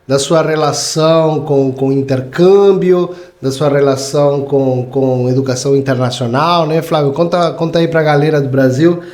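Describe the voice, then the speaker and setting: A male host talks in an upbeat, promotional way, turning to the audience often. His voice sits somewhat high and sounds roomy. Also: steady tone, normal speed, few pauses, a little mellow. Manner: upbeat, promotional, addresses viewers. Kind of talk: casual interview chat.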